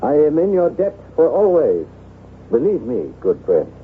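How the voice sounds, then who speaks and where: An actor playing a lonely, self-loathing aristocratic former soldier in theatrical, formal, halting radio drama style. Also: male voice, a little high, huge pitch swings. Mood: warm, animated. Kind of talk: old-time radio drama acting. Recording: tinny band-limited vintage radio, hissy.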